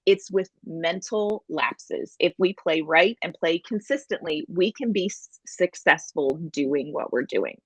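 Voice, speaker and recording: A woman co-hosting a sports podcast in a chatty, animated way. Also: on the low side, unhurried, hardly any pauses, breathy edge, no echo, rough recording. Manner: chatty and animated, apologetic asides. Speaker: podcast co-host. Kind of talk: casual sports podcast chat.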